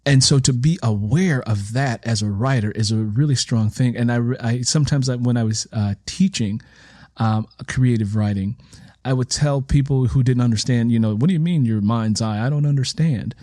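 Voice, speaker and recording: A man's voice: mid-range voice, normal speed, warm tone, no echo, clean studio sound. A man speaking reflectively and conversationally as an interviewer and writer, with an emphatic manner that repeats phrases for stress. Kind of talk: reflective conversational interview talk about writing.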